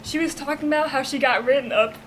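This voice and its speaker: A woman's voice: sits high, quick pace, a little hiss or hum. A teenage girl guest in a casual chat, telling stories full of "like". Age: teens. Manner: storytelling with frequent "like".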